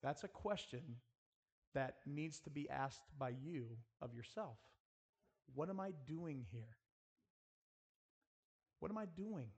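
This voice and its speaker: A man's voice: on the high side, expressive, takes it slowly, long pauses, husky. Man preaching an earnest sermon. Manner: earnest, exhorting, direct address to listeners. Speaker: preacher at a school chapel. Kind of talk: sermon with rhetorical questions and prayer.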